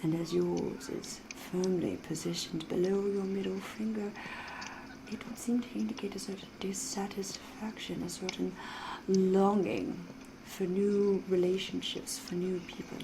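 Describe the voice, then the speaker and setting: Woman acting as a seductive female vampire, ASMR roleplay, unhurried pace, soft half-whisper, elegant wording, sly and mysterious, medium pitch, clear background noise. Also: fairly even intonation. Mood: silky, intimate. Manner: slow, deliberate, genteel phrasing with teasing pauses.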